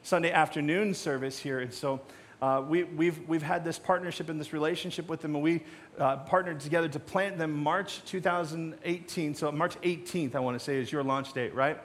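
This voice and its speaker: A man's voice: fairly high pitch, moderate pace. A middle-aged American man speaking as a pastor, conversational and anecdotal, in an informal talk to his congregation. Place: church stage.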